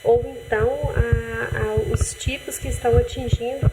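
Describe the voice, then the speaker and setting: A woman doctor with a Brazilian accent, calmly explaining health matters in a TV interview, often restarting her sentences. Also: fairly high pitch, moderate pace, heavy background noise. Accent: Brazilian Portuguese. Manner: calm, explanatory, with frequent restarts and "né". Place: TV studio.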